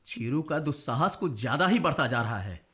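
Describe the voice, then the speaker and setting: An actor in a radio play playing an agitated, combative village doctor with an urgent, emphatic delivery. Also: male voice, medium pitch, lively intonation, normal speed, fairly bright tone, natural voice. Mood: indignant. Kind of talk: Hindi radio play acting.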